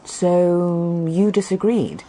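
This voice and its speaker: A woman's voice: fairly low pitch, drawn-out words, dark tone. A woman acting in a British radio mystery, playing a curious, persistent young listener who questions a detective directly, with a British accent. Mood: curious, probing. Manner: inquisitive, direct, insistent.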